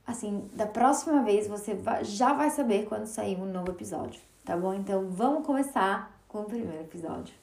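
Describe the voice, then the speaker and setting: Gravelly woman with a light Italian accent. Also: mid-range voice, brisk, few pauses, a little mellow. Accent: Italian-tinged Portuguese. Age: 30s. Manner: chatty, emotional, with filler words and restarts. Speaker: podcast host. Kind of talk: casual solo podcast talk.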